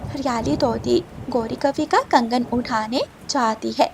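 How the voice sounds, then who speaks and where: A woman's voice: on the high side, measured pace, gravelly, noisy background. A woman storyteller reading scripted narration for a children's story.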